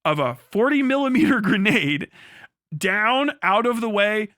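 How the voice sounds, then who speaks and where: A man livestreaming in a casual, crude, profanity-laced storytelling style as he explains things in an animated way. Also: high-pitched, unhurried, no echo, clean studio sound. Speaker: livestream host.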